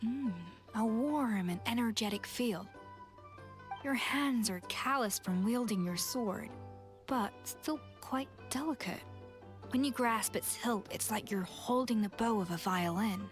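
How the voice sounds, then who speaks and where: A woman's voice: on the high side, measured pace, faint music behind, close to an everyday voice. A woman playing a confident, exacting young chef in a video game, speaking in a composed, precise, refined manner. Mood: thoughtful.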